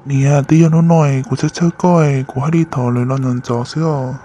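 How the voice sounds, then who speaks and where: An actor voicing a man in an animated family drama, in an amateur cartoon style. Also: medium pitch, brisk, lightly acted. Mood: conversational. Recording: dull lo-fi sound, faint noise.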